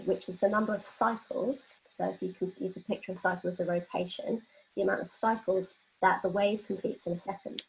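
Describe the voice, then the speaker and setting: A woman presenting an explanatory workshop lecture, talking informally and illustrating points with everyday examples. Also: medium pitch. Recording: dull, lo-fi band-limited audio.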